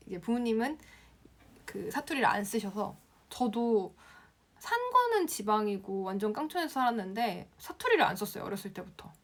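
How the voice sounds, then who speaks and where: A young woman from the Gyeongsang region teaching Instagram, answering viewer comments on a casual live stream and laughing often. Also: medium pitch. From Gyeongsang province, Korea.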